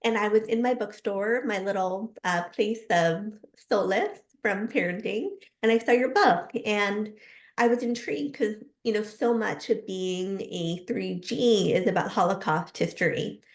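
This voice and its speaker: A woman's voice: medium pitch, a little slow, reverberant. A woman hosting an interview, asking questions in a casual, enthusiastic and personal way. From New Jersey, United States. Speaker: host and interviewer.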